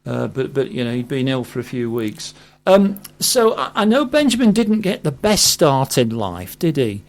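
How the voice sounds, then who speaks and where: A man hosting a live radio interview, speaking in a hesitant, halting way. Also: medium pitch, big pitch swings. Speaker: radio host.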